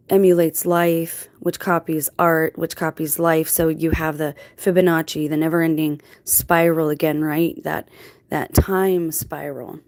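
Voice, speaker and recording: Lower-voiced woman rambling to viewers. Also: darkish tone. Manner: reflective, digressive, explanatory. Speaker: video channel host. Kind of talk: casual rambling monologue to viewers.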